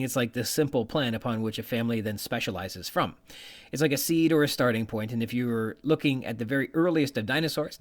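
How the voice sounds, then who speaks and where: A man hosting a podcast, explaining research in a semi-scripted way, reading out technical terms and quotations with informal asides. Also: medium pitch. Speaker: podcast host.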